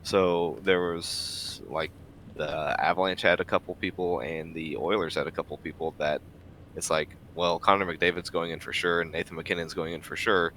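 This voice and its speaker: Male co-host, very low but sharp voice, informal sports chat, faint noise behind. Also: flat delivery, moderate pace. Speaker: podcast co-host. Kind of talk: casual sports chat with friends.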